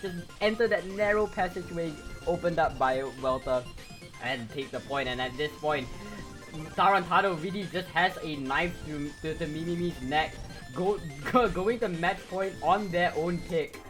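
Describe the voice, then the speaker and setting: Excitable male esports caster over game music. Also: on the high side, normal speed. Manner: excitable, shouts at big plays. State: going hoarse. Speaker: esports commentator. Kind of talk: live esports commentary.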